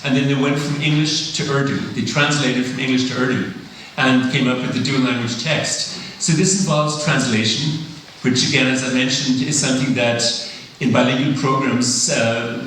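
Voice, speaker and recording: A man giving a conference lecture, speaking thoughtfully and pausing often with uhs and ums. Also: medium pitch, a bit bright, distant mic, a little hiss or hum. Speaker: plenary speaker. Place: large lecture hall. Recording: echoey hall, mic heard from afar.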